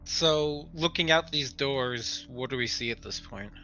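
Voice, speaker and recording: A man's voice: medium pitch, unhurried, bright, forceful tone, soft background music. A man talking casually as a player in a tabletop roleplaying game, partly speaking for his character. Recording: dull, lo-fi voice pickup.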